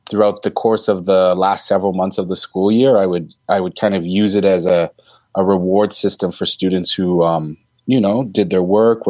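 A man in his thirties, a teacher, answering interview questions in a deep voice, thoughtful and hesitant with many ums and restarts. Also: a little mellow, dry recording. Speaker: teacher guest.

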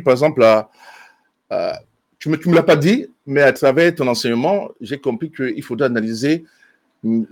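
A man, a bass student, telling his teacher in an interview why he joined the course, with many fillers and restarts. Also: darkish tone, dry recording. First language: French. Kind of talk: testimonial interview.

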